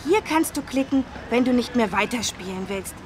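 A woman's voice: a little high, animated, moderate pace, background noise, close to an everyday voice. A woman voicing an eager, helpful young schoolboy who explains things in a children's learning game. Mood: inviting, helpful.

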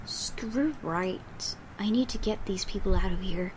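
A woman's voice: medium pitch, a little slow, slight background noise, poor audio. A woman hosting a let's play, reading game narration aloud as dramatic storytelling, with asides. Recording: loud game ambience behind the voice.

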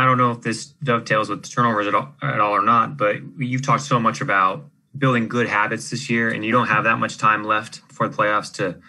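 A man's voice: on the low side, sharp tone, rough recording. A man, a sports reporter asking a coach a question at a press conference.

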